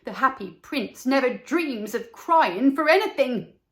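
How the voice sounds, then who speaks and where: A woman's voice: high-pitched, animated, a little slow, pitched above the performer's own voice. A woman voicing a sensible, scolding mother in a storybook, chiding and emphatic. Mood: exasperated. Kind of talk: storybook character voice.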